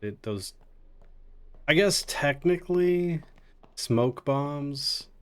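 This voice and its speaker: A man's voice: fairly high pitch, animated, drawn-out words, clean tone. A man giving casual live commentary on a speedrun in a jokey, sarcastic way. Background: video game music.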